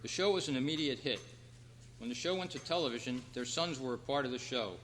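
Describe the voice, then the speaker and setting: A man hosting a trivia quiz at a club meeting, reading out questions in a measured, announcing way. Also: mid-range voice, fairly bright tone. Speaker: quiz host. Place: hall at a convention club meeting. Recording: reverberant hall, clear background noise and hum.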